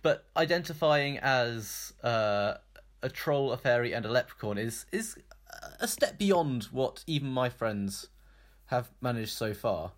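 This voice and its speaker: British guy on a podcast chatting about the news, kinda halting, lots of hedging. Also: medium pitch, expressive. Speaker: podcast co-host. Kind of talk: casual news chat.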